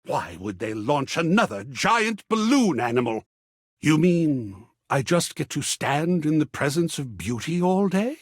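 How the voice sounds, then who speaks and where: A man playing a grandiose, excitable living embodiment of art in a video game, husky and florid, with melodramatic theatrical delivery. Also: a little high, measured pace, no room sound, studio quality, exaggerated voice. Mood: indignant, then thrilled. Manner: florid, melodramatic, swooping between hushed awe and exclamation.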